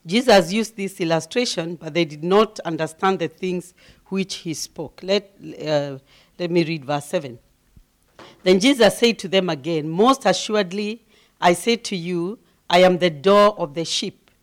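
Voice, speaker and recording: A middle-aged woman from Kenya with a deep voice, preaching as a guest speaker, humble and given to laughing, growing loud and emphatic as she reads scripture. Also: measured pace. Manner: grows loud and emphatic when reading scripture. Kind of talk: sermon.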